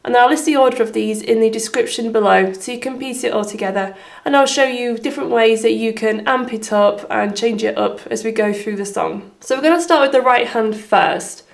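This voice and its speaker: A woman with a slightly hoarse voice giving step-by-step piano instruction as the host of a tutorial. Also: on the high side, a little fast. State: slightly hoarse from having been unwell. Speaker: piano teacher and video host. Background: piano playing.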